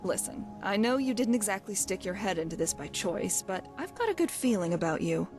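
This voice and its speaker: A woman's voice: mid-range voice, expressive, brisk, lightly acted. Actress as female rogue companion for fantasy game: brash, wry, cocky, theatrical delivery, music underneath. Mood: wry, matter-of-fact. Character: brash, wry female thief, undying adventurer. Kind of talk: voiced game dialogue.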